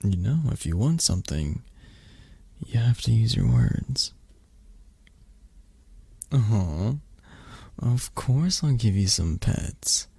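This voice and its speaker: A man playing a teasing, affectionate young dragon boyfriend in an intimate ASMR roleplay, speaking softly and haltingly with breathy cooing. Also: lowish voice, very animated, dry recording, exaggerated voice. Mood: affectionate, playful.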